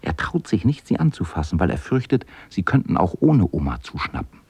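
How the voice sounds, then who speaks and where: A man narrating a children's radio play as a calm storyteller with a husky voice. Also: low voice, fairly quick, a little hiss or hum. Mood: storytelling.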